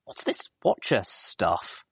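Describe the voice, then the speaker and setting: A man's voice: slow, fairly bright tone, no room sound, studio quality. A man voicing a curious, matter-of-fact female pony drinker who talks in a folksy, plain way. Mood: sceptical.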